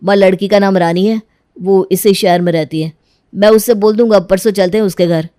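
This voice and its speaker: A mellow, dry-recorded voice of a young husband acted by a man in a cartoon moral story. He is mild and affectionate, speaking in an everyday family-drama manner. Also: high-pitched, steady tone, a little fast, smooth, no room sound, lightly acted. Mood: shy, happy.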